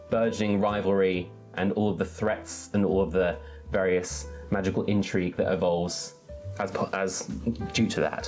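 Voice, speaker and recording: A man's voice: lowish voice, darkish tone. A man hosting an enthusiastic book talk, articulate and wordy, with emphatic phrasing. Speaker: book reviewer and readalong host.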